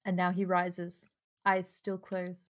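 A woman's voice: lowish voice, monotone, slow. A woman reading stage directions as narrator in a live table read, on a home microphone over a video call. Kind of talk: live table read of a screenplay, with casual asides. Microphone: home microphone on a group video call.

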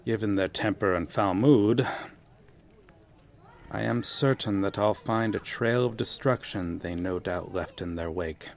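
A man's voice: lowish voice, a little slow. A man playing a proud, courteous medieval knight out of his time in an audio roleplay, with formal, archaic, theatrical phrasing. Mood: puzzled, resolute. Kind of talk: ASMR roleplay acting.

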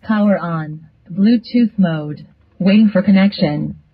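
A woman voicing a flat gadget voice prompt. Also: lowish voice, slow, husky, no echo. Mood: announcing. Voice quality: flat, clipped announcements. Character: female device voice prompt, flat and clipped. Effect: played through car speakers. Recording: dull, muffled speaker sound.

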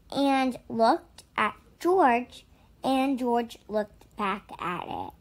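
A woman reading a children's picture book aloud with deliberate, expressive phrasing. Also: high-pitched, husky. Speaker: storybook reader.

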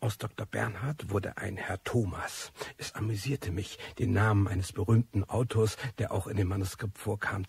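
A reclusive, disillusioned narrator in a radio play. His voice is low and very husky, with gravel in it. He tells his story in a brooding, ironic manner. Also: heavily acted. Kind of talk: scripted first-person radio play narration.

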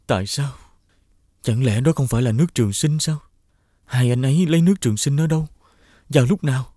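A man playing an earnest, naive young prince, the wronged youngest brother in an animated fairy tale. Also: medium pitch, a little fast, dry recording, natural voice. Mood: bewildered.

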